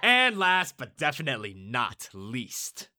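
A man's voice: mid-range voice, huge pitch swings, a little slow, sharp tone, soft background music, heavily acted. A man playing a slick, showy game show host in an audio drama, with theatrical announcer delivery.

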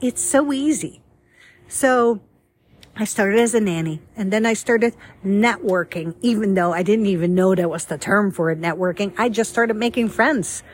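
A middle-aged woman from the Netherlands, casually telling her own life story in a chatty, lively way. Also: medium pitch, moderate pace, breathy edge. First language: Dutch. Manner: chatty and lively, acts out quoted dialogue.

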